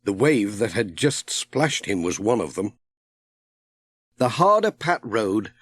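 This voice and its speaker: A man narrating a children's audiobook in a measured, expressive storytelling style. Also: on the low side, husky, dry recording.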